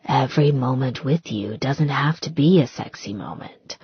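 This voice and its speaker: A woman voicing a grave, gentle man in an audiobook, tender and concerned, with a deep, hushed, breathy delivery. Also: no echo, highs cut off, exaggerated voice. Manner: slow, grave, soothing.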